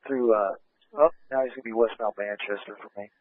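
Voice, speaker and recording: Man on narrow-band police radio, halting. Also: lowish voice, steady tone, dry recording. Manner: halting, clipped location call-outs. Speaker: police officer calling a vehicle pursuit. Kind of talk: live police radio pursuit updates.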